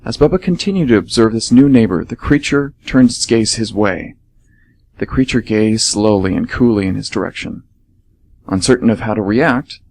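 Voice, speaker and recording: Guy reading scripted radio theatre stuff, middle pitch, storyteller and presenter. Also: darkish tone, slight background noise, poor audio. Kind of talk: scripted storytelling narration and announcing.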